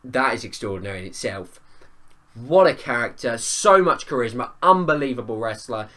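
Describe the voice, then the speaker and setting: A man with a hoarse voice from a cold, giving an enthusiastic, opinionated and rambling spoken review of a wrestling show. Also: medium pitch, animated, moderate pace, bright, forceful tone. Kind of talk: casual spoken review vlog.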